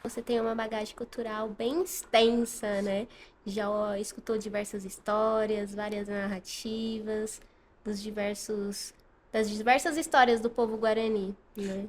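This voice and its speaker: A woman from Brazil hosting a podcast interview, asking her guest friendly, measured questions. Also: medium pitch, little pitch movement, slight background noise. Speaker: podcast host.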